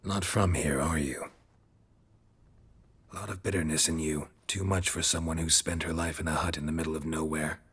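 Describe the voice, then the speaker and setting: A middle-aged fantasy game monster hunter with an extremely deep voice. It is heavily rasped and husky, delivered in terse, deadpan phrases. He comes across as stoic, gruff and dryly witty. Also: normal speed, dry recording, full character voice. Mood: dry, probing. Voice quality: gravelly, husky low voice.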